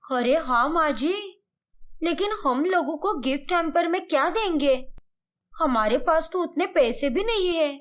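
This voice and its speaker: Woman as an eager high-voiced young daughter-in-law. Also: lively intonation, measured pace.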